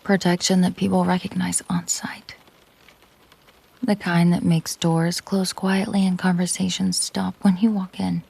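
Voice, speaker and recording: A woman performs a dangerous, protective mafia boss girlfriend for an intimate audio roleplay. Her voice is dark and breathy with a gravelly fry, often sinking toward a whisper. She speaks very slowly and deliberately, possessive and fully in control. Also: lowish voice, flat delivery, exaggerated voice. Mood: protective, commanding.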